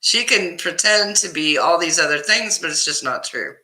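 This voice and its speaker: A woman's voice: on the low side, lively intonation, normal speed, pushed and bright, roomy sound, low-quality recording. A woman from Kansas with a Kansas accent, hosting a casual live stream, reading viewers' comments and answering them by name, often laughing. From Kansas, US Midwest. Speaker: livestream host reading chat.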